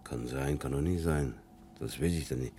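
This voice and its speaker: Stern old Silesian farmer, extremely low voice, plain rustic speech, noisy old radio production. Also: male voice, normal speed, warm tone. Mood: grave, content. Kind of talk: naturalistic German radio drama acting.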